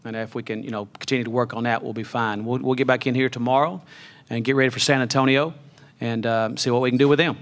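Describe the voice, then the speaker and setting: Brief: middle-aged male coach, quick and level, postgame presser on a table mic. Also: medium pitch, fairly even intonation. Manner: measured, many fillers and restarts. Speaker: head basketball coach. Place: press conference room. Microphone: table mic on a stand.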